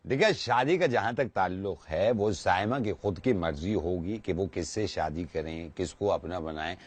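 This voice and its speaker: A man working as a radio jockey, making a prank phone call in a courteous, formal way. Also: deep voice. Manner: courteous, formal, deliberate politeness.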